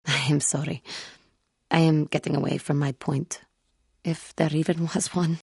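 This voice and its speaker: A woman playing a wounded, earnest female alien Jedi in a video game, speaking in formal, measured phrases. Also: low voice, level tone, creaky, darkish tone, breathy edge, no room sound, studio quality, full character voice. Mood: subdued, apologetic. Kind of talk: scripted video game character dialogue.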